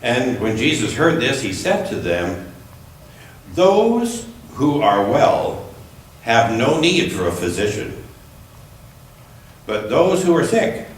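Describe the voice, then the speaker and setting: A very echoey recording, picked up at some distance from the mic, carries a man reading scripture in church. His delivery is slow and deliberate, with careful phrasing suited to reading aloud. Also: medium pitch, big pitch swings. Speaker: scripture reader at a church service. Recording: echoey room, distant mic, faint noise.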